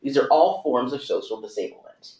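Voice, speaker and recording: A man explaining his views in a conversational talk, speaking in quick run-on sentences with fillers. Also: medium pitch, lively intonation, a little mellow. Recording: echoey room, dull lo-fi sound.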